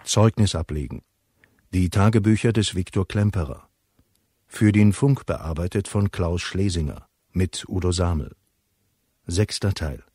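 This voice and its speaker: A man's voice: deep voice, a little slow, dark tone, slightly rough. A man reading scripted titles as the announcer of a radio play.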